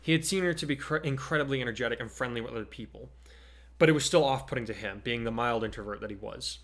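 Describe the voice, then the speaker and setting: Guy podcast host, informal, mid pitched voice, chatting or reading his story out. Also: fast, fairly bright tone. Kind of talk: casual chat and dramatic story reading.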